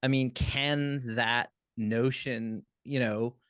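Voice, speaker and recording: A man's voice: mid-range voice, steady tone, slow, a bit bright, smooth, dry recording, studio quality. A man on a theatre panel, musing aloud and asking questions in a casual, conversational way.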